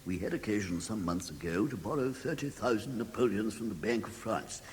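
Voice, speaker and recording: A man's voice: lowish voice, brisk, natural voice. An actor playing a fussy, self-important British bank chairman, speaking formally and pompously in a classic radio drama. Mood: confiding. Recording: reverberant vault sound with faint noise.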